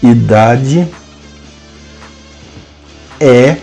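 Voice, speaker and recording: A man with a Brazilian Portuguese accent teaching a programming lesson, dictating code symbol by symbol with hesitant pauses. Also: medium pitch, gravelly, dark tone, soft background music. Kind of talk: slow screen-cast coding lesson, dictating code aloud.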